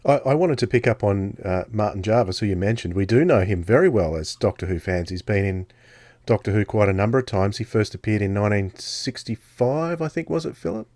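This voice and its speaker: A man with a fairly low voice hosts a conversational interview. The sound is very dry and close, with no room around his questions and comments. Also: animated, a little fast, darkish tone, no room sound. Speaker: podcast host, interviewer.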